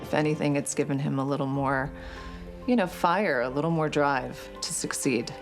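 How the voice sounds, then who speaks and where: A woman with a very low voice shares personal recollections in a documentary interview. Music runs clearly behind her words. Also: steady tone, normal speed.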